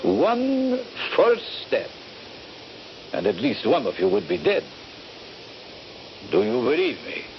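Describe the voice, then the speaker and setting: An actor playing a menacing, smug captor in a radio drama, commanding and formal. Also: male voice, high voice, wildly swooping pitch, takes it slowly, gravelly, long pauses, full character voice. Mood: coldly threatening. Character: menacing, smug captor threatening his prisoners. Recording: muffled, hissy 1970s radio recording.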